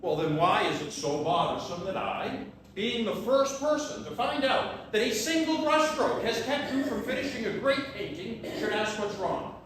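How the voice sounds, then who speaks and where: A man acting as a concerned, persistent friend in a stage play, high in pitch for a male voice. He is earnest and prodding, with some exasperation. He is heard from a distance in a very echoey room. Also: lively intonation, normal speed, a bit bright. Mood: earnest, exasperated. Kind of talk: live one-act stage play acting. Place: tavern theater venue. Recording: echoey room, distant mic, faint noise.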